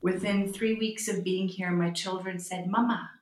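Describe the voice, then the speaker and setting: A Canadian woman in her forties, a magazine editor speaking as an interview guest, reflective and pausing as she restarts her phrases. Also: medium pitch, fairly even intonation. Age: 40s. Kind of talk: interview conversation. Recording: roomy echo.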